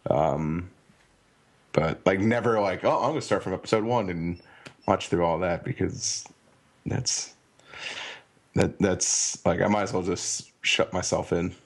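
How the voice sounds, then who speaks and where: A man co-hosting a podcast in casual chat, rambling and halting with many ums. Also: sits low, breathy edge. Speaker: podcast co-host.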